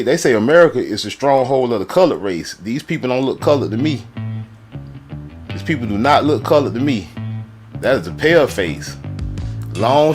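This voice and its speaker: A man with a baritone voice hosting a stream, talking in an excitable, joking and profane way. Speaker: streamer host. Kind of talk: loud ranting commentary. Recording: music playing underneath.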